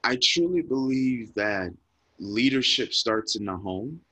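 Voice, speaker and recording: A man's voice: mid-range voice, expressive, measured pace, pushed and bright, no room sound. A man telling a personal story in an interview, earnest and reflective, growing emotional and repeating words when moved. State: emotional, near tears. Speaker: guest speaker.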